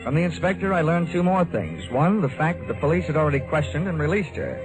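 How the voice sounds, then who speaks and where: Actor as wisecracking hardboiled American investigator, music underneath. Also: male voice, a little high, fast, natural voice, low-quality recording. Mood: wry narrating. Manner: wry, deadpan quips. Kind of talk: 1950s radio detective drama acting, first-person narration.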